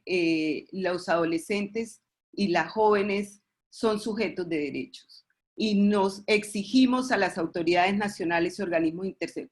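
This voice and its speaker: Female expert presenter, Colombian accent, lowish pitch, formal webinar register, halting with false starts. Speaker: expert presenter at a webinar, representing a pediatric committee.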